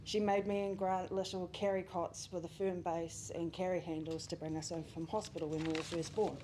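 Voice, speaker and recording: A woman's voice: lowish voice, level tone, brisk, noisy background. A young woman reading a memorial speech for a family friend, reminiscing with small laughs. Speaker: family friend giving a eulogy. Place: memorial service venue.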